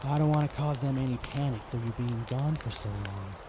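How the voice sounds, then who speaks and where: Quiet young knight played by a man, mid-pitched, burdened yet caring, measured audiodrama delivery with clear rain-like ambience behind. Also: noisy background, close to an everyday voice. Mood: gentle, caring. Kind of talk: audiodrama character acting.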